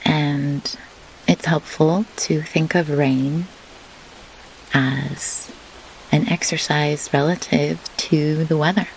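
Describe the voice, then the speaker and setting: A woman leading a guided meditation in measured, deliberate phrases with pauses, as a host who works as a grief doula. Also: low voice, rough recording. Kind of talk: slow guided meditation instruction. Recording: clear pink-noise rain hiss behind. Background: pink noise like steady rain.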